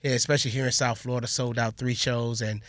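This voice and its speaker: A man's voice: mid-range voice, flat delivery, fast talker, a bit bright, dry recording. A man talking casually and agreeably as an interview guest. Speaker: playwright guest.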